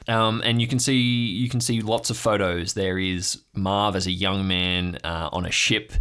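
A man narrating an improvised audio drama as game master, descriptive and halting as he makes it up. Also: low-pitched, a bit bright.

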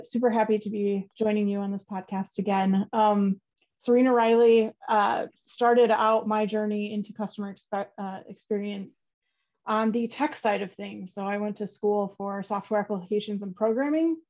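A woman's voice: mid-range voice, fairly even intonation, moderate pace, darkish tone, highs cut off. A woman from the United States, a podcast guest, talking in an upbeat, chatty way with many fillers. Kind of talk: casual interview talk.

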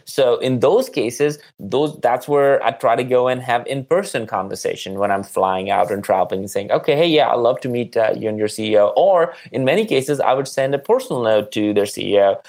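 A man's voice: medium pitch, lively intonation, brisk, few pauses, darkish tone. A man speaking as a marketing guest in an interview, conversational and earnest, repeating words for emphasis.

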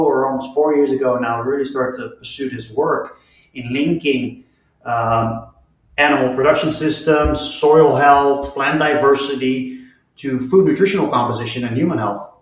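A man's voice: medium pitch, normal speed. A man from the Netherlands talking conversationally as a guest expert in an interview. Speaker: guest, nutrition scientist. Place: indoor room, in person with the hosts. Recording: very echoey, roomy sound.

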